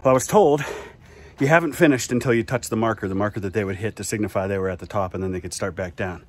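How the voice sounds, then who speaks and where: A man's voice: lowish voice, quick pace, slight background noise. A man in his forties talking to camera while hiking up a steep mountain road outdoors, with a handheld camera at arm's length. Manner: breathless, steady talk while climbing. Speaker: history vlogger. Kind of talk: hiking vlog narration.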